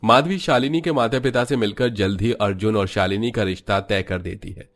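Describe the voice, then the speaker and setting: A man's voice: on the low side, normal speed, soft background music. A man narrating a Hindi cartoon story in a scripted storytelling style.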